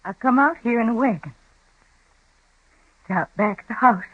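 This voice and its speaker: A woman's voice: a little high, lively intonation, normal speed, dry recording. Weary older frontier mother. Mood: grieving. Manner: plain rural dialect, soft and resigned. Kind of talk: 1950s radio western acting. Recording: tinny, narrow old radio broadcast.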